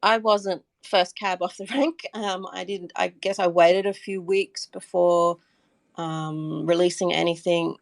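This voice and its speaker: A woman speaks in a lowish register with plenty of vocal fry. She is a guest in a casual interview, feeling her way through answers hesitantly, and the sound is dry and close. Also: steady tone, measured pace, dry recording. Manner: hesitant, thinking aloud with frequent "I guess". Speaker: interview guest, community member and artist.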